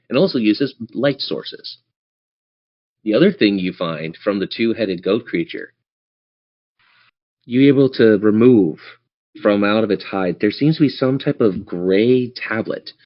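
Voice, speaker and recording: A man's voice: fairly low pitch, lively intonation, moderate pace. A man narrating a tabletop game as game master in a casual, improvised way. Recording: dull lo-fi band-limited audio.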